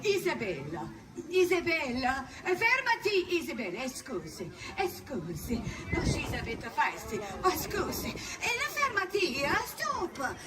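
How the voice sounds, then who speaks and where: A woman's voice: high-pitched, wildly swooping pitch, unhurried, heavily acted. A woman performing as a good-natured old Italian witch, talking to a crowd with a put-on Italian accent and coaxing, playful manner, calling children closer. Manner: playful, coaxing, scolds her broom. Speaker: costumed live performer. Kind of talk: live character storytelling to a crowd. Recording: distant mic, noisy outdoor crowd.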